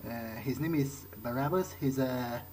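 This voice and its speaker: A man's voice: medium pitch, a little slow, dark tone, slight background noise. A man speaking casual in-character dialogue as he plays a game, with hesitant, conversational phrasing. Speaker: let's player improvising in character. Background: game soundtrack music.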